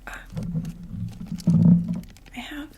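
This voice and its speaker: A woman voicing a chatty undead vampire makeup saleswoman in a soft, gently persuasive ASMR whisper. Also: drawn-out words, heavy background noise. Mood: hushed sales pitch. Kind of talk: ASMR roleplay.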